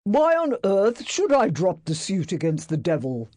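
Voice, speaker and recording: Woman doing a cranky rich guy yelling, slow and dry. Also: fairly low pitch, wildly swooping pitch, dry recording, pitched above the performer's own voice, full character voice. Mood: irate. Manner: snapping, yelling. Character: irate gentleman yelling in his study.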